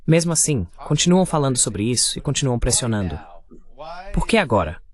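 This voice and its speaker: A man's voice: mid-range voice, big pitch swings, a little fast. Male host, Brazilian, average register, dubbed political interview delivery. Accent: Brazilian Portuguese.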